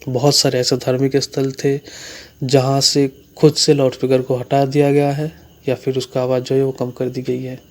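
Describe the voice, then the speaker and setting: Man giving news commentary, narrow pitch range. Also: monotone, moderate pace, darkish tone. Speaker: YouTube channel host.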